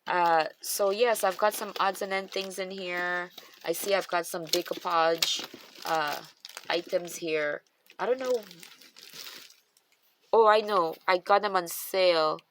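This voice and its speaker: A woman with a Guyanese accent and a somewhat low voice, rambling cheerfully through a show-and-tell of her craft stash. She thinks aloud, restarting and trailing off mid-sentence. The home recording carries clear background noise and the crinkle of handled packaging. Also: steady tone, slow, a bit bright. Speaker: craft channel host.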